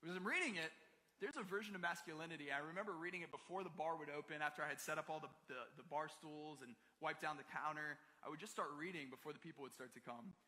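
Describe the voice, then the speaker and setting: A man's voice: on the high side, fast talker. Slangy man preaching in a reverberant hall. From Maryland, United States. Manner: casual, slangy, animated storytelling with acted-out quotes. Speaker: pastor. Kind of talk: conversational sermon with personal stories. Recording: long reverb of a large hall.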